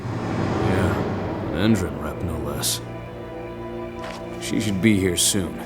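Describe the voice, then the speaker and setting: A man playing a laid-back guard in a video game, talking in a dry, unhurried way. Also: low voice, music in the background. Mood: dry, wry. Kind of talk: video game cutscene acting.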